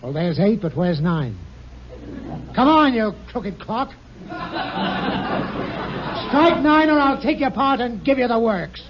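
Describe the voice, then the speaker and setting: A man playing a gruff, short-tempered middle-aged boss in a 1950s radio sitcom, bellowing and blustery. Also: very high-pitched, big pitch swings, takes it slowly, long pauses, over a noisy crowd, very noisy, exaggerated voice. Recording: dull lo-fi 1950 radio recording with music.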